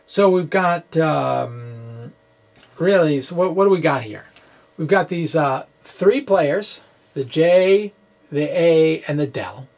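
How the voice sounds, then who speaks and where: A middle-aged man giving an informal, halting explanation to camera in an offhand, quirky manner. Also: on the high side, lively intonation, a little mellow. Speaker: physics blogger presenting puzzle answers. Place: small indoor room with bookshelves. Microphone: microphone on a stand in front of him.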